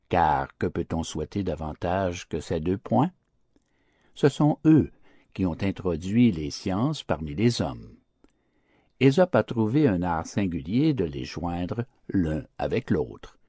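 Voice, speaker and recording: A man's voice: sits low, dark tone, husky, no echo, studio quality. A man narrating an audiobook of classic literature in a formal, declamatory, theatrical manner.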